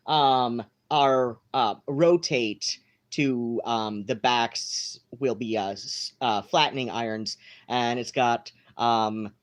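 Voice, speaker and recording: Slow, rambling home live-streamer. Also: medium pitch. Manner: meandering, many fillers and restarts.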